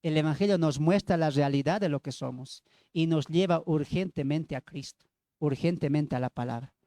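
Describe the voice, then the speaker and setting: Male evangelical preacher, Bolivian accent, high pitch, emphatic teaching style full of questions, dry sound. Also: normal speed, mellow, no room sound. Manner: emphatic, repeats phrases, asks rhetorical questions. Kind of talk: sermon with Bible teaching.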